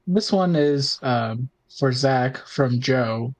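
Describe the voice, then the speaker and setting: A man speaks in a casual, conversational way at an average pitch for a man. He thinks aloud informally, as a podcast co-host among friends would. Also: slow. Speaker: podcast host and tabletop player. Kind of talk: casual chat.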